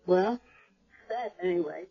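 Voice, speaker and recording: An actress playing a young amnesiac woman, unsure and fearful, with halting delivery in radio drama style. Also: on the low side, creaky, slightly rough, exaggerated voice. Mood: miserable, afraid. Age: young adult. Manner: hesitant, halting. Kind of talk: 1970s radio drama acting. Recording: dull vintage radio recording.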